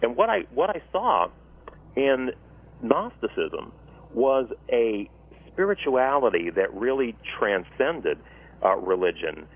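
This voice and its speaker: A man's voice: mid-range voice, big pitch swings, slow, breathy edge. A male author being interviewed on radio, speaking in thoughtful, discursive sentences with frequent restarts and fillers. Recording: tinny phone line.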